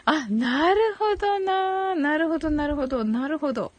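A woman's voice: high-pitched, lively intonation, moderate pace, no room sound. A woman hosting a casual live audio show, chatting with listeners in a giggly way and thinking aloud as she goes.

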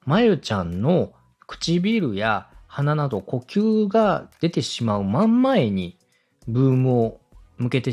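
Male podcast host, husky voice, very varied intonation, polite step-by-step coaching, music underneath. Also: medium pitch, a little mellow. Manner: polite, explanatory, methodical. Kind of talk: structured advice talk for a podcast.

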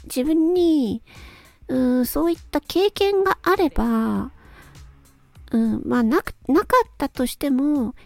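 A woman with a fairly high, soft-toned voice talks to her listeners in an unhurried, reflective monologue. She is polite and gentle, often hesitating as she thinks things through. Music plays behind her. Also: vocal fry, no echo. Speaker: solo host, amateur voice actress. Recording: close dry recording with background music. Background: background music.

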